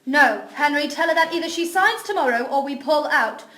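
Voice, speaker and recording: A woman's voice: high voice, animated, moderate pace, sharp tone. A woman playing a haughty, impatient publisher in an amateur audio drama, clipped and condescending. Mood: impatient, cutting. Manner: clipped, condescending, corrects others' grammar. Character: haughty, icy female publisher, impatient and snobbish. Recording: roomy, echoey amateur recording.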